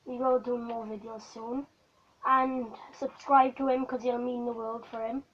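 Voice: mid-range voice, level tone, measured pace, a bit bright, dull audio, a little hiss or hum. An informal, chatty speaker, talking casually in a home video.

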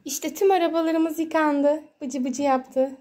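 A woman's high voice speaks in playful baby talk, as a mother does with a small child. She narrates the game in a sing-song way, repeating little words. The recording is a homemade one with clear background noise. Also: fairly even intonation, unhurried. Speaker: mother playing with her young child. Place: indoors at home beside water play tubs. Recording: noisy home phone recording with clicks.

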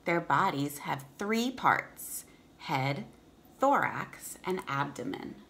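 A woman's voice: sits low, big pitch swings, slow pace, vocal fry, fairly bright tone, a little hiss or hum. A woman in her thirties reading a children's picture book aloud as a teacher, enunciating for young listeners and explaining as she goes. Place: room in a home.

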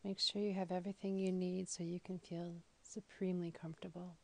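A woman leading a guided meditation in a soothing, deliberate manner, with phrases that trail off softly. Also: lowish voice, level tone. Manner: soothing, slow and deliberate with soft, breathy phrase endings. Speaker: yoga nidra guide.

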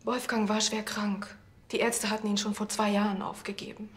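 An actress as a flat-toned, resigned widow. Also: female voice, mid-range voice, moderate pace, close to an everyday voice. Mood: resigned, hushed. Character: subdued, resigned widow around forty. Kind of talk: TV crime drama acting.

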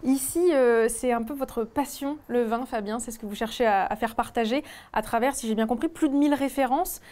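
Female, 30s, regional television interviewer and presenter, somewhat high-pitched. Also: brisk, darkish tone. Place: indoors in a restaurant and wine shop.

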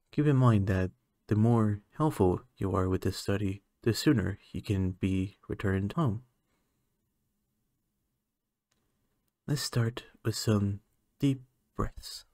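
Low, slow-talking alien scientist acted by a man. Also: dark tone, no echo, clean studio sound. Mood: calm, clinical. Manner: measured, hesitant, formal clinical phrasing. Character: male alien scientist, clinical, curious, easily flustered. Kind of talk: ASMR roleplay, soft-spoken.

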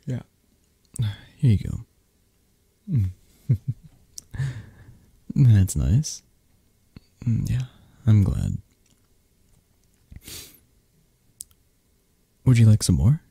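A man playing a possessive, flirty mafia boss who dotes on his lover, murmuring softly and intimately in an ASMR romantic roleplay, with a breathy, husky, hesitant and reassuring delivery. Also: fairly low pitch, very animated, takes it slowly, pauses often, no echo, exaggerated voice. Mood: tender.

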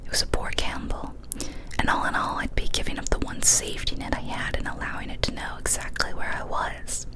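A young adult woman reads a horror story as ASMR in a breathy whisper, careful and deliberate, close to a studio microphone. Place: small cozy indoor room. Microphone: close studio condenser mic on a boom arm.

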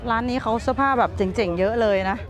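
A young woman vlogging casually at an outdoor motorcycle swap meet, chatty and excited, talking into a handheld camera. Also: on the high side, fast, a crowd in the background. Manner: chatty, excited, switching between Thai and English. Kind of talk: casual vlog chat.

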